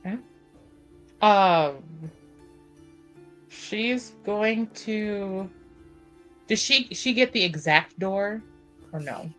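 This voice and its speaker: Bright-voiced, slow-paced woman playing a tabletop game. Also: medium pitch, animated, faint music behind, low-quality recording. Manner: playful, slangy. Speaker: player in a tabletop roleplaying game. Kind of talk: casual game chat and action narration.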